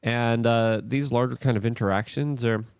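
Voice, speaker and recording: A man's voice: mid-range voice, steady tone, moderate pace, clean tone, dry recording, clean studio sound. A man hosting an opinionated political commentary, speaking in an emphatic, theatrical and sarcastic manner.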